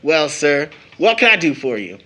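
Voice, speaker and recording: Jovial, teasing uncle played by a man for audio drama; bright, fairly high voice, blustery. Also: sing-song, normal speed. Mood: jovial, brisk. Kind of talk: stage drama audio acting.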